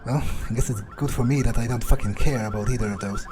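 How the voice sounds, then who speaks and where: A man's voice: mid-range voice, quick pace, very noisy, lightly acted. Man roleplaying a musing, cynical vampire. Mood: callous. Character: cynical, callous, self-serving male vampire. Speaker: gamer roleplaying his character. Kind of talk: in-character game playthrough, thinking aloud.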